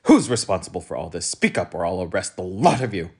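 A man voicing a short, fierce, bossy policeman who shouts accusations, for a storybook reading. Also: medium pitch, sing-song, moderate pace, voice pushed up. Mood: bossy, shouting.

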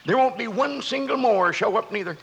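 Creaky high-voiced old rancher. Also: male voice, animated, fairly quick, fairly bright tone, slight background noise, full character voice. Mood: firm, earnest. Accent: American Western, rustic. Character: gruff, proud old male rancher, rival clan head. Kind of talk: old-time radio western acting.

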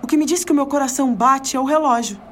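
A woman's voice: sits high, fast talker, natural voice. Woman acting anxious, weary city commuter, noisy ambience. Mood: weary unease. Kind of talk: audio drama monologue. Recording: clear background noise with ambient sound.

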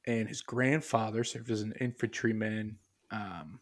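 A man with a fairly low voice talks in a loose, rambling way, thinking out loud. He pauses on fillers and restarts his sentences while working through his notes. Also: fairly even intonation. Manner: muses aloud while reading from notes. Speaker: podcast co-host. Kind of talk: casual podcast chat.

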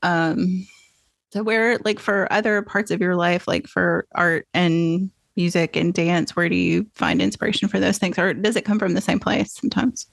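Low, gravelly-voiced woman host. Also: expressive, normal speed, no echo. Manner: chatty, rambling, many "like"s and restarts. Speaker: podcast host. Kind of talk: casual interview chat.

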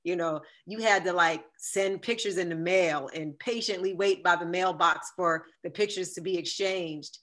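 A woman in her fifties with a deep, sultry voice, telling a personal story as a podcast guest and acting out the quoted dialogue. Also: moderate pace, hardly any pauses. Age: 50s. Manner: animated, acts out quoted dialogue. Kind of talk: storytelling in a conversational interview.

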